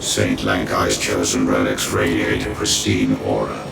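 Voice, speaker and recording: Game tech-priest, male,: ritual intoning, rumbling gravel, dead flat. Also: unhurried, heavily acted. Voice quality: deep gravelly monotone. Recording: distant voice under loud game music.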